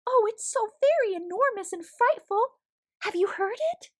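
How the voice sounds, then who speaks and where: A woman acts out a little gray girl mouse from a picture book. She is dainty and talkative, pitched very high with lively swoops, and easily startled. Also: normal speed. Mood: indignant, fretful.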